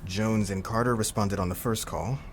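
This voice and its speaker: Man as a crime boss's deputy, deep voice, matter-of-fact, audio drama, background noise. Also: steady tone, normal speed, lightly acted. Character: matter-of-fact male right-hand man of crime boss. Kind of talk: scripted audio roleplay acting.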